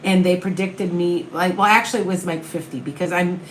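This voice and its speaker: Calm, soothing Midwestern woman in echoey room. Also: on the low side, brisk, a little hiss or hum. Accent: Upper Midwest American. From American Midwest. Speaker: tarot reader and medium. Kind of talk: live-stream reading viewer questions.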